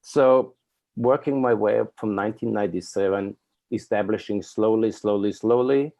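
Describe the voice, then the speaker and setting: An Austrian man in his fifties tells his story as an interview guest. He speaks in an earnest, rambling way, with frequent fillers and an Austrian German accent. His voice is low, mellow and warm. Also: moderate pace. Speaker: guest, company owner.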